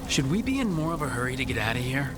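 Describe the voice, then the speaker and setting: A man's voice: mid-range voice, sing-song, rapid-fire, background noise, natural voice. A man playing an eager, earnest young fighter in a video game, often questioning his companion. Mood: easy, questioning. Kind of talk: video game dialogue acting. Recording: game music behind the voice.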